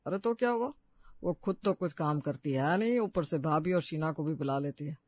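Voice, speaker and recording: A man's voice: on the high side, normal speed, lo-fi sound. A man voicing a kindly, playful older father-in-law in a cartoon moral story. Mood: helpful. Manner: encouraging, playful.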